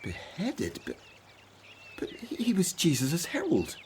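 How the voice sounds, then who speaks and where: An earnest, conscientious man in a radio play, with a lively, emphatic delivery. He is easily stirred, and there is faint noise behind him. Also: fairly high pitch, slow pace, heavily acted. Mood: shocked, anxious. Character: earnest, conscientious male disciple, excitable and easily worried. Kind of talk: radio drama acting.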